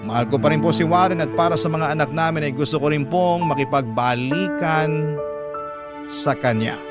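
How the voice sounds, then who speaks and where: A man reading a letter aloud as a radio storyteller, in sentimental, measured, dramatic narration. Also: on the high side. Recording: loud background music. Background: music bed.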